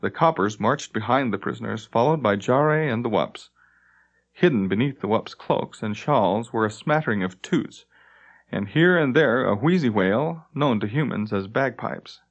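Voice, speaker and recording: A man's voice: mid-range voice, lively intonation, moderate pace, dull audio. A man reading scripted fantasy narration as an audiobook narrator.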